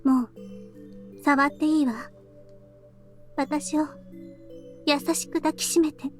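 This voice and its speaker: A woman playing a quiet, weary, affectionate young woman in a visual novel, speaking softly and without hurry. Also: high-pitched, little pitch movement, normal speed, close to an everyday voice. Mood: sleepy, tender. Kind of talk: Japanese visual novel voice acting. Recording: background music under the voice.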